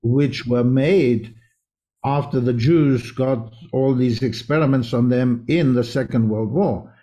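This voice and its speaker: A man's voice: medium pitch, slow, warm tone. A man from South Africa, raised in Israel, speaking as a guest homeopath in an interview with earnest, winding explanations. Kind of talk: conversational interview.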